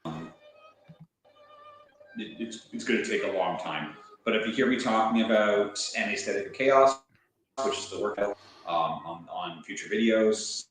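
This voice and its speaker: Deep halting man in a very echoey room. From Canada. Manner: halting, repeats words. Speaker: video essayist. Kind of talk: talking to camera in his own video. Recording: very echoey room with music under the voice.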